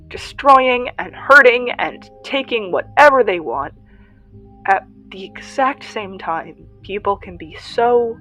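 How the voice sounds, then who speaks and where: A woman playing an earnest young survivor who hosts a radio show in an audio drama, confiding reflectively in listeners with halting pauses. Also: mid-range voice, a bit bright. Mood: emotional, moved. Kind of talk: first-person audio drama monologue, podcast-style confiding.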